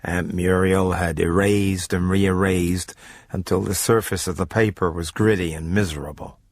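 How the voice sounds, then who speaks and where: A man with a fairly low voice presents and narrates a radio story. His delivery is scripted, dry and measured, and music plays clearly beneath him. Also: steady tone, no room sound.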